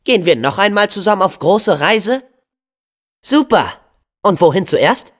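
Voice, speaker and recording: Teenage boy game hero, eager, earnest, very lively pitch, unhurried delivery. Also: heavily acted. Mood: earnest, curious. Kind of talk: video game voice acting.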